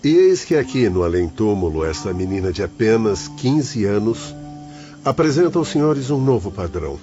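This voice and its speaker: A man's voice: on the low side, sing-song, normal speed, dark tone. A man playing a grave, moralizing doctor and mentor of the spirit world in an audio drama. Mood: grave, admiring. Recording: dull muffled audio, guitar music.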